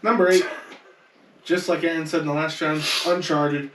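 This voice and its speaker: A man's voice: a little high, fairly even intonation, normal speed, fairly bright tone. A man hosting a casual group countdown podcast, bantering with the others. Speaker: podcast host running the countdown. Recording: roomy echo, distant mic.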